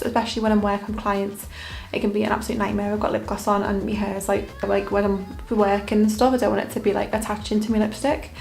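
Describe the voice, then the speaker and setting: A young woman makeup artist talking through a casual makeup tutorial in a hoarse, croaky voice, her delivery relaxed and monotone with plenty of fillers. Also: mid-range voice. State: croaky, hoarse voice after illness. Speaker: makeup artist and beauty vlogger.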